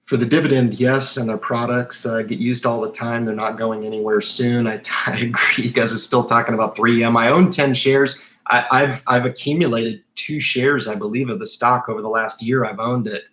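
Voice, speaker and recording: A man in his thirties hosting a casual livestream, reading chat questions and answering in an animated, rambling way with many fillers and restarts. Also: mid-range voice, normal speed, hardly any pauses.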